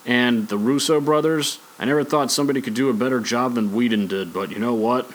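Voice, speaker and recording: A man's voice: medium pitch, brisk, rough recording. Opinionated man chatting casually. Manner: rambling, opinionated, conversational. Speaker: podcast host. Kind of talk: casual opinionated podcast talk on sports and movies.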